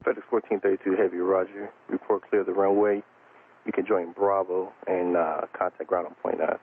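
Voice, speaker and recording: Male air traffic controller, deep and fast, procedural and matter-of-fact, heard through a tinny radio link. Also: flat delivery. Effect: aviation radio. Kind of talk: clipped procedural radio instructions. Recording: narrow tinny radio transmission, faint static.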